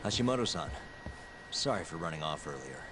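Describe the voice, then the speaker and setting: An actor playing a calm, measured private detective in video game drama dialogue. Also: male voice, rumbling low, very noisy. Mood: calm, polite. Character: calm, cool male private detective, adult.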